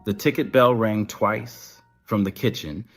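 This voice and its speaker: A man's voice: on the low side, steady tone, unhurried, faint music behind. A man narrating a scripted audio story as its storyteller.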